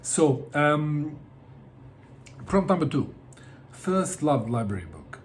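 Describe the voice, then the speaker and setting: A man's voice: fairly high pitch, sing-song, slow, slight background noise. A middle-aged man from Bosnia, talking casually to camera about books, hesitant, with many fillers and repeated words. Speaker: book vlogger.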